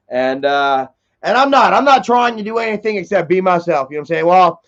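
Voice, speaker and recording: A man's voice: high voice, lively intonation, normal speed, a bit bright. A man in his thirties telling a rambling, profane story in casual livestream chat, full of fillers and repeats. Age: 30s. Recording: dull lo-fi stream audio.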